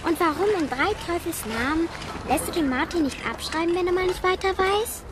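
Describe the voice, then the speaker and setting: Woman playing high-voiced feisty schoolgirl Kitty. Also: fairly quick, background noise. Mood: firm, protective. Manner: bossy, cheeky slang. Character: Kitty, feisty, loyal schoolgirl who defends her friend. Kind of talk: children's radio play.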